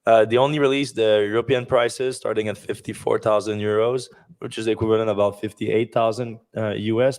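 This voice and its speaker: A man hosting a casual news podcast, rambling with many fillers and restarts, his voice going hoarse. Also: fairly low pitch, a little mellow. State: losing his voice. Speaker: podcast host.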